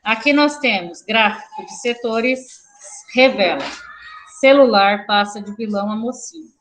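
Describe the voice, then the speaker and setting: A woman's voice: on the high side, unhurried, a bit bright, a little hiss or hum, poor audio. A woman teacher giving an online class, dictating text and spelling out the punctuation.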